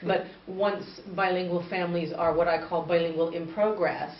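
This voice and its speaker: Canadian woman in her 30s, low voice, earnest expert explaining things, sounds far from the mic. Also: steady tone, moderate pace. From northern Canada. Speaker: guest, bilingual education expert. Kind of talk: interview answers. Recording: distant, echoey, muffled with faint noise.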